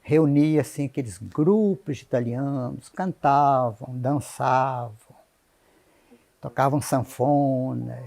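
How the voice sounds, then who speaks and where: A man's voice: medium pitch, slow, gravelly, a little mellow. A man earnestly recalling how immigrants gathered to sing and dance. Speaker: interviewee. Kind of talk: reminiscing interview.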